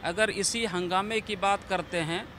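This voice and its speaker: A man with a notably high voice answers an interviewer as an imam. His wording is formal, balanced and diplomatic. Faint outdoor city and vehicle noise runs underneath. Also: steady tone, unhurried, sharp tone.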